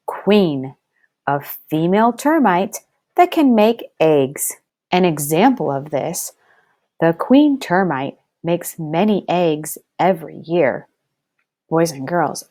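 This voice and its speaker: Lively, slow-paced woman teaching young children. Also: on the low side. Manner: deliberate enunciation, addressing children directly; encouraging. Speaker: first-grade teacher reading aloud. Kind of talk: slow read-aloud lesson for young children.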